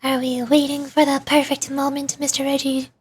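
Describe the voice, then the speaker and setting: A woman's voice: monotone, moderate pace, natural voice. A woman voicing a tiny, curious, cheeky fairy companion from a fantasy game, with a small, perky voice. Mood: eager, cheeky. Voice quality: very high, small. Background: game music.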